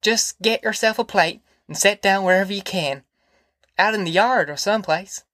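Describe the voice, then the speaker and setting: A woman's voice: mid-range voice, moderate pace, a bit bright, no room sound, studio quality, pitched above the performer's own voice, lightly acted. A woman voicing a practical, busy farm mother with a folksy rural drawl.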